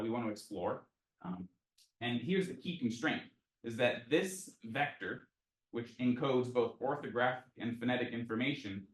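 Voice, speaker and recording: A man's voice: mid-range voice, rough recording. A man who is a researcher giving an informal academic lecture, explaining things in a conversational way with rhetorical questions and asides.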